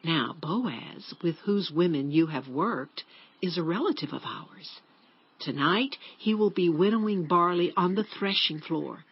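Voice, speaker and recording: A woman's voice: lowish voice, animated, unhurried, husky, muffled recording, heavily acted. A woman playing a caring older mother-in-law in a dramatized Bible reading, speaking in a motherly, instructing way. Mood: gentle, instructing. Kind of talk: dramatized Bible audiobook acting.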